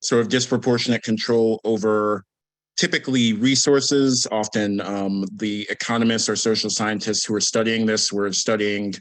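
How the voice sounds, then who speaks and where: A man on a video call, a guest author explaining an idea in a measured, hesitant way with many pauses. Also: on the low side, little pitch movement, poor audio. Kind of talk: academic explanation.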